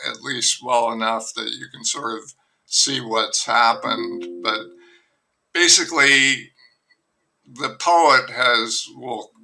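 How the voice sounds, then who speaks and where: An older man, a novelist guest, talking in a rambling, wry way with many fillers and restarts in a relaxed podcast conversation. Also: medium pitch, takes it slowly, creaky, soft background music, poor audio.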